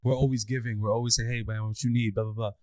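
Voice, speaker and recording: A young man co-hosting a podcast, chatting casually and telling lively stories, often jumping into high mocking voices. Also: very fast, dry recording, clean studio sound. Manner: animated storyteller, swings into high mock voices. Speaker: podcast co-host. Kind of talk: casual chat.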